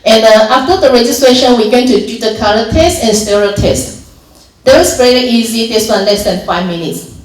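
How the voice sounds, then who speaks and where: A woman's voice: a little high, normal speed. A woman from Malaysia with a Malaysian accent, giving an informal volunteer training talk, explaining steps plainly with many restarts and fillers. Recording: echoey room from far off.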